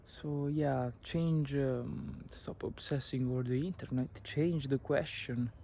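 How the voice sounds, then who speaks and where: Slow, earnest Italian-accented young man. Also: medium pitch, fairly even intonation, mellow, slight background noise. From Italy. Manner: earnest, halting, with many restarts and fillers. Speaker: vlogger. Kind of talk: personal advice talk to camera.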